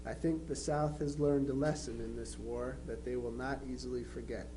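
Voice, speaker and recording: A man playing a plainspoken twenty-three-year-old Union soldier, reading his affectionate letters home in an unhurried, matter-of-fact way. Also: mid-range voice, steady tone, lightly acted. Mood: reflective, matter-of-fact. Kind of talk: dramatized reading of historical letters. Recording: faintly noisy live recording with hum.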